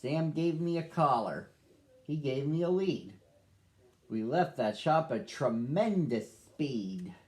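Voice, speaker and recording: Guy reading as a sad lonely pup from a pet shop, storybook voice, kinda high, slow and careful. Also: expressive, a little mellow. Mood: wistful.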